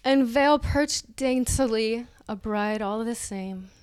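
A woman's voice: fairly high pitch, slow pace, a little mellow, clean tone. Woman reading aloud with laughs. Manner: reads aloud, breaks into laughter and asides. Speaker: podcast co-host. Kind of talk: dramatic reading of an article with banter.

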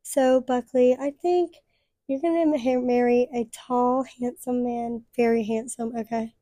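A young woman hosting a casual chat, upbeat and full of fillers, her voice a little hoarse from losing it. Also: fairly high pitch, steady tone, unhurried, mellow, no echo, studio quality. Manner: chatty, upbeat, full of "like" and "literally". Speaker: podcast host.

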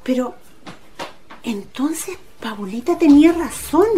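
A woman playing a kindly, gently intrigued mother in a radio drama. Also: sits high, lively intonation, slow, a little hiss or hum. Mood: surprised.

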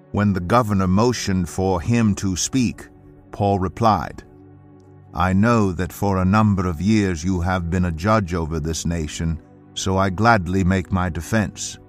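A man narrating scripture as an audiobook, with a measured, solemn and emphatic dramatic delivery. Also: low-pitched. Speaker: Bible narrator.

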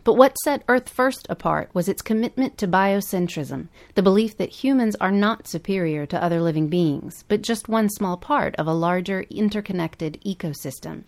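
A woman's voice: medium pitch, normal speed, few pauses. A woman co-hosting a podcast, reading scripted documentary-style narration. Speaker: podcast co-host.

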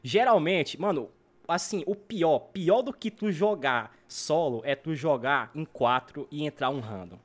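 A man's voice: a little high, animated, unhurried. A man with a northeastern Brazilian accent from Ceará, chatting casually and telling anecdotes in slang, repeating phrases for emphasis. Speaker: podcast guest, YouTuber and gamer.

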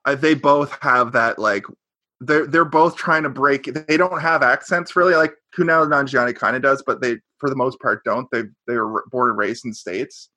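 A man's voice: medium pitch, normal speed, sharp tone, no room sound. A man co-hosting a podcast, chatting casually about an old sitcom, rambling and correcting himself, with a sarcastic edge. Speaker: podcast co-host. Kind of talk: casual chat recapping a sitcom.

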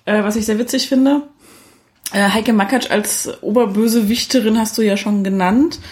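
A woman's voice: medium pitch, steady tone, normal speed, darkish tone. A woman co-hosting a podcast, giving her take on films in casual conversation. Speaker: podcast co-host. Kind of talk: casual film review chat.

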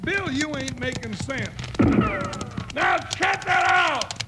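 An actor playing a folksy, excitable cowboy sidekick with a drawl in an old-time radio western. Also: male voice, slow, exaggerated voice. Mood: excited, indignant. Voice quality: high-pitched cowboy drawl. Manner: folksy, blustery, scolding. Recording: noisy old radio broadcast recording.

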